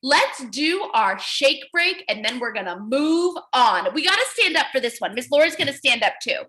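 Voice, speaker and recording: Female early-years teacher, high and very lively pitch, animated read-aloud style, encouraging. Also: moderate pace. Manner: animated, encouraging praise and questions; repeats children's answers back. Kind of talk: interactive children's read-aloud lesson.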